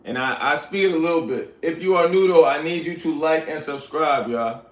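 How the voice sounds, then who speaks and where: A man's voice: fairly high pitch, normal speed. A man hosting a casual livestream, chatting to viewers in a laid-back, slangy way and calling them "y'all". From Liberia. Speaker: livestream host reading chat. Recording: roomy echoey sound.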